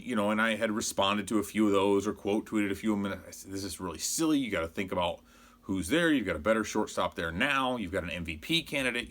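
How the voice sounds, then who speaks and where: A man's voice: on the low side, a bit bright. An American man around forty giving a casual, rambling opinion monologue as a sports show host, conversational and exasperated. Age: 40s.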